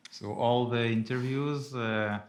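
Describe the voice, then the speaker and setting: A man's voice: medium pitch, steady tone, slow. A man on a live office panel, answering questions in a loose, hedging way with many fillers. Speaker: engineer on recruitment panel. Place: office event space.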